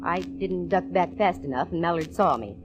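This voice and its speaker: An actress playing a confident, nosy private eye in a breezy, slangy 1940s radio style. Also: female voice, lowish voice, moderate pace, background noise, natural voice. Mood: brisk, exasperated. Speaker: lead actress in a radio detective serial. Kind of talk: 1940s radio acting, hard-boiled narration and dialogue. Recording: vintage radio show, music behind.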